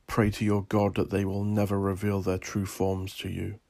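A man who is an author and podcast host, reading a scripted piece in a measured, solemn, dramatic manner. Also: sits low, monotone, gravelly, background noise. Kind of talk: scripted dramatic gothic narration and podcast sign-off.

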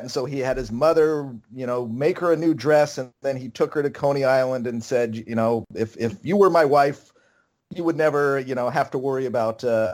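A man from California, a co-host, retelling a story casually with fillers like "you know". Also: mid-range voice.

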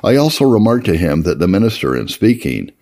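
Deep, gravelly man reading aloud. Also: sing-song, a little fast, dark tone. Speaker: audiobook-style reader of historical religious texts. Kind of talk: scripted narration, reading an old first-person memoir aloud.

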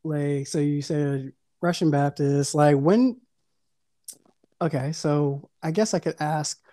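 A man's voice: on the high side, slow pace, dark tone, no room sound, studio quality. A man hosting a casual podcast interview, hesitant and restarting his questions often. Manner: hesitant, full of fillers and restarts. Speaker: podcast host.

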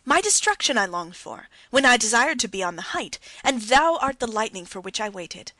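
A woman's voice: fairly high pitch, lively intonation, normal speed, sharp tone, no room sound. A woman reading the part of a troubled, despairing young man in an audiobook, pleading and impassioned. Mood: anguished.

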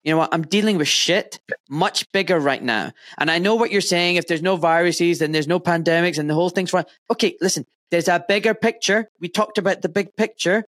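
A man with a Glaswegian accent, hosting a casual podcast conversation in an animated, emphatic way, with free swearing. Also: sits high, a little fast, few pauses, no room sound, clean studio sound. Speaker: podcast host.

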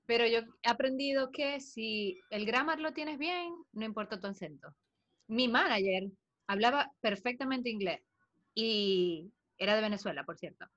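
A woman's voice: on the high side, animated, moderate pace, fairly bright tone, muffled recording. A woman speaking expressively in a casual chat. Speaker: spin instructor.

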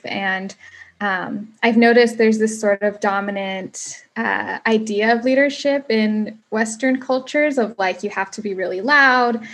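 A young woman with a soft, quiet voice, a coach answering interview questions with many pauses and ums. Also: medium pitch. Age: young adult. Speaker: restorative coach, guest.

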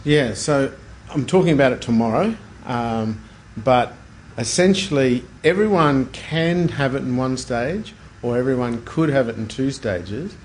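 A middle-aged Australian man answers questions as a podcast guest. His voice sits at a medium pitch, and his delivery is casual, unassuming and a little hesitant. Room noise can be heard behind him. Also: a little slow. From Brisbane, Australia. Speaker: guest, plastic surgeon. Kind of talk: casual interview chat.

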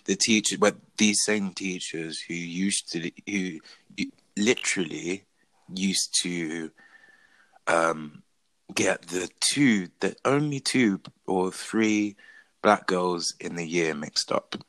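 A young Englishman with a low, deep voice and a bright, forceful edge to it, speaking earnestly in a casual conversation. He talks from the heart and stops and restarts mid-thought as he works through his own experiences. The sound is close and dry. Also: slow, no room sound. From England. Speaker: discussion participant. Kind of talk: casual discussion.

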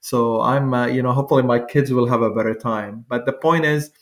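A man's voice: mid-range voice, fairly even intonation, a little fast. A man, a physician guest speaking in a wry, conversational way. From Lebanon. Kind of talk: panel interview answer.

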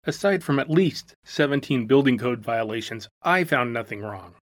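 A calm, diplomatic former building inspector, played by a woman narrator, matter-of-fact with a dry wit. His delivery rises and falls animatedly. The audiobook sound is dry and close. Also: rumbling low, normal speed, no echo, pitched below the performer's own voice. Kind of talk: audiobook character voice.

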